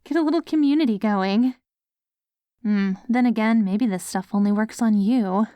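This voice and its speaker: A woman's voice: mid-range voice, normal speed, mellow, clean tone, no echo, close to an everyday voice. A woman playing a devoted, hopeful wife tenderly coaxing her zombie husband in an ASMR audio roleplay. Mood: tender, encouraging. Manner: tender, coaxing, speaks directly to a loved one.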